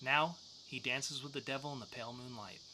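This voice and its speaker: A man's voice: mid-range voice, fairly quick, noisy background. A man co-hosting a podcast, talking through news and reviews in a casual, joking way. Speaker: podcast co-host. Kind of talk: news segment and comics review.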